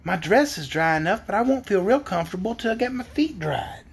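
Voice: medium pitch, lively intonation, fairly quick, vocal fry, husky, exaggerated voice. A reader voicing an earnest, slightly prim young country girl in a storybook, curious and polite. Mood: fretful.

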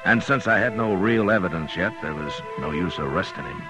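An actor playing a steady, dry-spoken frontier marshal in a 1950s radio western. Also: male voice, low voice, fairly quick, over loud music. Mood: somber narration. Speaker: lead actor and narrator.

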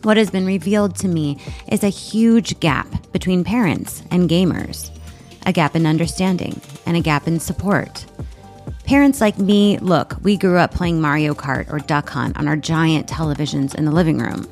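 Woman host, low voice, measured scripted narration, soft music underneath. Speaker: podcast host.

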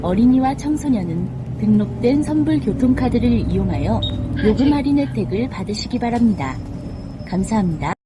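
A woman's voice: fairly high pitch, expressive, normal speed. A woman reading scripted bus stop announcements in a formal, carefully enunciated way. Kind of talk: scripted public transit announcement. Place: played over speakers inside a moving bus. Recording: noisy recording of bus cabin speakers. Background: loud vehicle and road noise.

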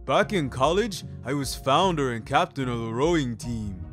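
A man's voice: medium pitch, animated, normal speed, fairly bright tone, faint music behind, voice pushed up, lightly acted. A man voicing an eccentric, naively earnest landlord in an audio story, with a quirky, emphatic delivery. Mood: proudly chirpy. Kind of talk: scripted audio story dialogue.

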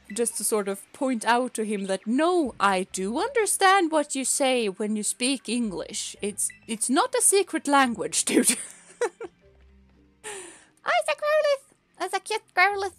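A young Swedish woman who chats with her viewers while playing a game. Her voice sits a little high, and she talks at an unhurried pace, laughing often and restarting her words. Soft music plays behind her. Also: big pitch swings, no echo. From Sweden. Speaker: streamer and teacher. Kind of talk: casual gaming stream chat.

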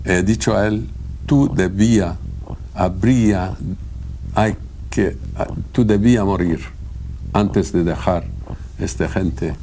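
A man from Lebanon giving an interview in halting, foreign-accented Spanish with broken grammar. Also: sits low, warm tone, slight background noise.

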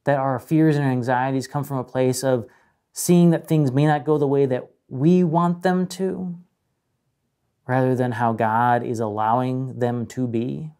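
Mellow-voiced pastor preaching with dramatic pauses. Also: male voice, mid-range voice, fairly even intonation, smooth. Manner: measured, dramatic pauses and emphasis. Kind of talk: sermon. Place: indoor room with a painted mural, speaking to camera.

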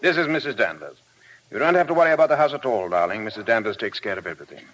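An actor playing a moody, commanding upper-class gentleman with an English accent, brusque and self-possessed, in 1950s radio drama. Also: fairly low pitch, animated, rapid-fire, faint music behind, poor audio. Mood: tender, wry.

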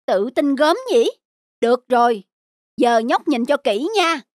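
Woman doing a boastful scheming scholar lad, smug and haughty, high lively voice, cartoon music behind. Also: unhurried, dry recording. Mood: taunting. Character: boastful young male village scholar, arrogant, scheming. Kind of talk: cartoon dubbing. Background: background music.

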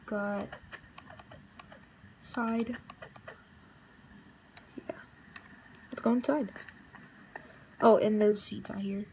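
A young woman casually narrating a walkthrough of a game build she made, halting and relaxed, with fillers. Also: medium pitch, slight background noise, poor audio. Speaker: channel host.

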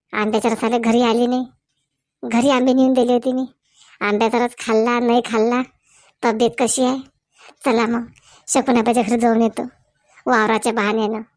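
Henpecked cartoon village husband played by a woman, high voice, musing to himself, dry recording. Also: darkish tone, no echo, natural voice.

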